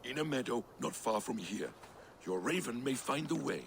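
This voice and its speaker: A scheming trickster god, voiced by a man, asks probing, mock-innocent questions. His voice is gravelly and swoops around in pitch. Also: animated, moderate pace, background noise, lightly acted. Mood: sly.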